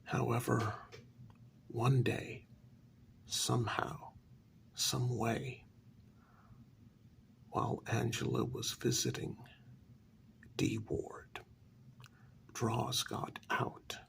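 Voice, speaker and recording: A man telling a story in a soft-spoken ASMR style, breathy and deliberate, with many dramatic pauses. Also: mid-range voice. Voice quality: breathy half-whisper with heavy creak. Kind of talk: soft-spoken ASMR story narration, first-person role-play.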